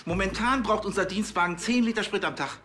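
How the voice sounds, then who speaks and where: An actor playing an officious, fussy police chief who stammers and lectures pedantically in a TV crime comedy. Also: male voice, high-pitched, fairly quick, sharp tone, soft background music. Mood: lecturing.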